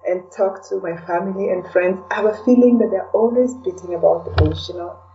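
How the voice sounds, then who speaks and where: A woman's voice: on the low side, fairly even intonation, a little fast, dark tone, slight background noise. A woman from Kenya with a Kenyan accent, chatting as an interview guest and often adding "you know" to her sentences. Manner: peppers talk with "you know". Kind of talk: casual conversational interview. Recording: distant, slightly dull sound.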